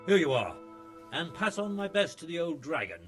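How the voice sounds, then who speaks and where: An actor playing a brusque, shady medieval scribe in a video game, impatient and businesslike. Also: male voice, a little high, big pitch swings, moderate pace, fairly bright tone, soft background music. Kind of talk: video game cutscene acting.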